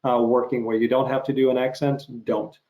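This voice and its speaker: A man hosting a conversational interview, musing aloud in halting phrases. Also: mid-range voice, level tone, mellow.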